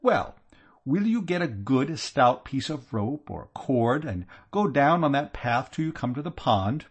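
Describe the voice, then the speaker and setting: A man voicing an irate, sharp-tongued aristocratic aunt in an audiobook, scolding with scathing exasperation. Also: medium pitch, big pitch swings, measured pace, slightly rough, pitched above the performer's own voice, heavily acted. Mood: sardonic. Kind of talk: audiobook character voice.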